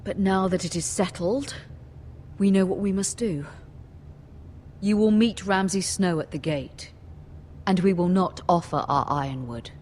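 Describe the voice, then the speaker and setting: A woman playing a dignified middle-aged noble mother who speaks in a calm, authoritative way. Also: fairly low pitch, measured pace, pauses often, slight background noise, lightly acted.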